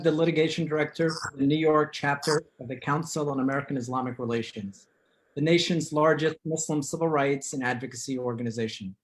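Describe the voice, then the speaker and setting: A man hosting an online community talk show from his living room on a video call, speaking in a formal, measured way. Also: on the high side. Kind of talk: scripted-sounding show introduction and interviewing.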